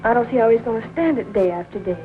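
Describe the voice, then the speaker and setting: A woman's voice: on the high side, expressive, quick pace, lightly acted. An actress playing a tender, distressed young woman, emotional and pleading in a 1940s film melodrama. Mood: worried, pitying. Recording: narrow-band 1940 film soundtrack.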